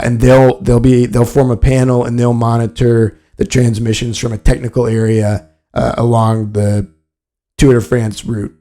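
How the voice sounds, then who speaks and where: Even-toned man hosting a sports podcast. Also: mid-range voice. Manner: matter-of-fact, explanatory. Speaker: podcast host. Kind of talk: solo sports business podcast commentary.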